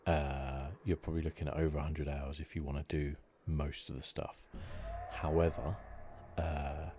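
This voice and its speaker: A soft-spoken man giving hesitant, rambling ASMR commentary as host of a video game playthrough. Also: rumbling low, flat delivery, normal speed, background noise.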